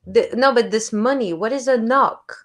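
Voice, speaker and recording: A woman hosting a casual livestream chat. She is animated and laughs often. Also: fairly high pitch, moderate pace. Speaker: livestream host.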